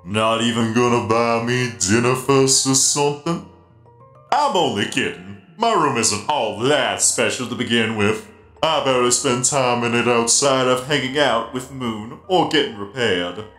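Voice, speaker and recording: A man's voice: medium pitch, unhurried, fairly bright tone, lightly acted. Man voicing a giant friendly robot spider DJ, roomy. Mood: playful, welcoming. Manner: genial, playful, explanatory. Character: giant friendly half-blind animatronic spider DJ. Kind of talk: comic dub character acting. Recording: roomy echo, music behind.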